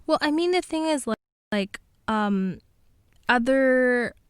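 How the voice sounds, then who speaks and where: A woman's voice: high voice, lively intonation, slow pace, clean tone, dry recording, studio quality. A woman co-hosting a podcast, explaining things in a conversational way. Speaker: podcast co-host.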